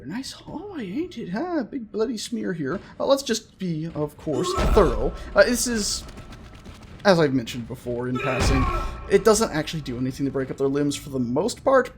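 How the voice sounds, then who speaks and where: A man giving casual, chatty let's-play commentary on a horror game, full of jokey asides and an excitable, jumpy manner. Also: a little high, moderate pace, background noise. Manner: jokey, chatty asides to himself and the game's characters; jumpy, excitable, prone to swearing shouts. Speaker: gaming video host.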